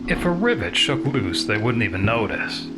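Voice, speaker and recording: A man reading science fiction narration as an audiobook narrator, with measured, deliberate dramatic phrasing. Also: medium pitch, big pitch swings, loud music behind the voice.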